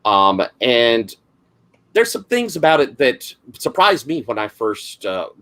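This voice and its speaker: Southern game master: middle-aged man, gravelly, rambling and full of fillers, talking over an online call. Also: medium pitch, very animated, takes it slowly, a bit bright. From South Carolina, US. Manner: rambling, lots of fillers and restarts. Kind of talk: casual introduction of a game.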